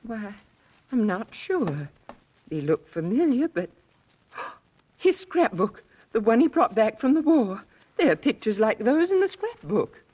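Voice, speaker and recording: An actress playing a worried, hesitant widow in an old radio drama. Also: female voice, a little high, sing-song, unhurried, mellow, slightly rough, full character voice. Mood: anxious. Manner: hesitant, anxious. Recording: dull old radio recording.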